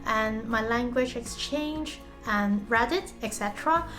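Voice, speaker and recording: A woman vlogger whose first language is Cantonese talks in an earnest, chatty way. Her voice sits a little high, and faint music plays under her. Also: a little slow, a bit bright. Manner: earnest, chatty, self-referencing. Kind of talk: casual explanatory vlog.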